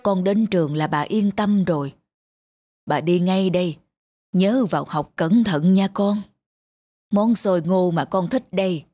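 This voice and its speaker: A woman playing an elderly, caring grandmother in a cartoon, gently coaxing. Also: fairly low pitch, unhurried. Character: elderly, caring, self-sacrificing grandmother street vendor. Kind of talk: cartoon voice acting. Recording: music behind the voice.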